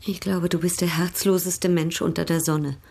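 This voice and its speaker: An actress playing a proud, worldly, coquettish baroness in a radio drama, speaking in a cultivated and slightly affected way. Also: female voice, lowish voice, level tone, moderate pace, gravelly, a little mellow, breathy edge. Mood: torn, capricious.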